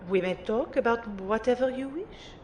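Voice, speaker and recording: A woman's voice: on the high side, moderate pace, darkish tone, slight background noise, natural voice. A woman playing a haughty but fond mage mentor in an audio drama, with a condescending, theatrical manner. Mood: gentle, knowing. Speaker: voice actor in an amateur audio drama. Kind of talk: acted dialogue in an audio drama.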